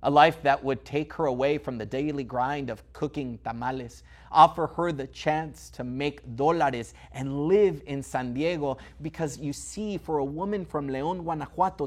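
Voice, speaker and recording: A man performing theatrical spoken word, telling a story with a dramatic rhythm and sharp swings in loudness. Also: on the high side.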